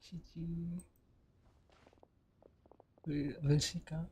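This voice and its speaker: A man's voice: fairly high pitch, flat delivery, very slow, darkish tone, a little hiss or hum. A man giving casual, terse reactions as he streams a game, in short muttered remarks. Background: game music and clicking.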